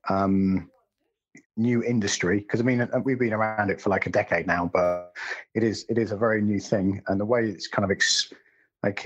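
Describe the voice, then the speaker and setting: Casting: low, husky man, podcast co-host, casual rambling delivery, lo-fi sound. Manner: rambling, self-correcting, wry asides. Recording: dull lo-fi sound.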